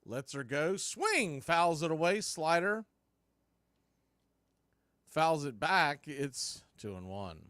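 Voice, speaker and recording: A man's voice: fairly high pitch, sing-song, slow, long pauses, fairly bright tone, no room sound, clean studio sound. A man doing live radio-style baseball commentary as play-by-play announcer, in punchy, clipped calls that swell with the action.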